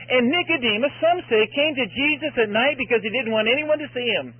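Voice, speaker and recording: Male preacher, very high raspy voice, earnest exhorting delivery with repeated phrases, evangelistic sermon. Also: lively intonation, a little fast, no echo, phone-quality sound. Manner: earnest and exhorting, with repetition for emphasis.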